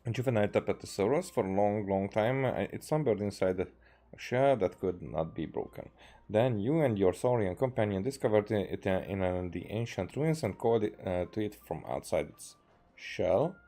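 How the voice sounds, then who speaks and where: A man's voice: fairly low pitch, expressive, moderate pace, warm tone. A man from Hungary doing casual live game commentary, hesitantly thinking aloud as he plays. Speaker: game streamer. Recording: game music behind the voice.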